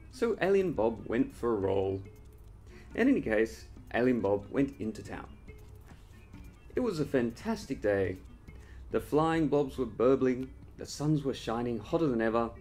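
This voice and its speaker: A man reading his own children's story as an expressive storyteller, addressing young listeners directly. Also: mid-range voice. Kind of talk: animated scripted storytelling for children.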